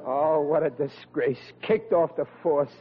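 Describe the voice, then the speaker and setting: An actor playing a hapless, whiny, excitable young policeman in an old radio comedy, blustering and stammering. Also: fairly high pitch, measured pace, soft background music, close to an everyday voice. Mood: dejected. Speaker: comic lead actor. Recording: muffled vintage radio, humming, audience behind.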